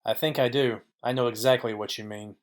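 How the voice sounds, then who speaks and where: A man's voice: mid-range voice, steady tone, brisk, natural voice. A man playing a wry, businesslike private detective narrating and questioning in a noir audio play. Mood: businesslike probing.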